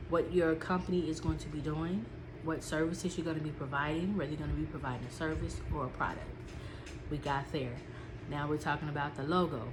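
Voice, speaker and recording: A woman's voice: low voice, noisy background. A woman vlogger with a stuffy, sniffly nose, chatting casually from her notes with lots of ums and repeats. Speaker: small business vlogger.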